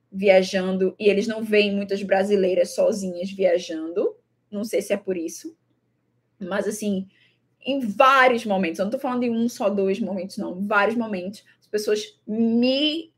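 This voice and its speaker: A woman's voice: fairly high pitch, darkish tone, husky. A young Brazilian woman with a Brazilian Portuguese accent talks casually to the camera about her travels, thoughtful and repeating words, with many fillers. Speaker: traveling vlogger.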